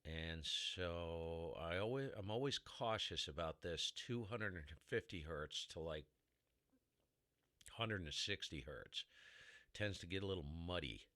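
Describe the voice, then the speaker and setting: Baritone man, very deep voice, bright and forceful, dead dry room, rambling how-to host. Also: unhurried, no room sound. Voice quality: baritone, very low voice. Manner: rambling, self-interrupting explainer with mic tests. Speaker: ham radio tutorial host. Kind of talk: casual how-to demonstration. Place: heavily dampened home studio room.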